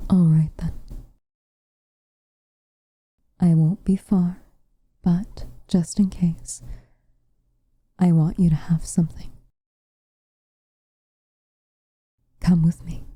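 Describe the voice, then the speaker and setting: Lady doing a soft breathy witch for asmr, very dark mellow voice, slow, protective n a bit teasing. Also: on the low side, no room sound, clean studio sound. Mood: reluctant, caring. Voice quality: soft, breathy, near-whispered. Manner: gentle, measured, quietly teasing. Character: protective, tender tsundere witch with a dry teasing streak. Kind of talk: intimate ASMR roleplay, scripted character acting. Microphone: close studio mic, dry.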